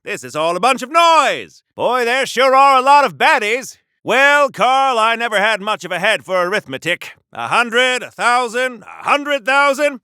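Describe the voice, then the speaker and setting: An actor playing an earnest, pompous 1930s American superhero in a theatrical old-time radio serial style for a video game. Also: male voice, very high voice, big pitch swings, normal speed, hardly any pauses, bright, forceful tone, smooth, no room sound, studio quality, heavily acted. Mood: heroic, indignant. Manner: theatrical, pompous, old-fashioned patriotic announcer delivery. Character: earnest, bombastic 1930s American male golden-age superhero. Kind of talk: 1930s-40s radio serial hero acting.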